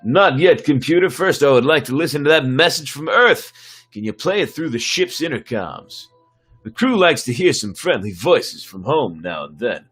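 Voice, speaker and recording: A man's voice: medium pitch, very animated, moderate pace, a bit bright, husky. A man putting on a pompous, self-important male starship commander in a dramatic sci-fi reading, over music. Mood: commanding.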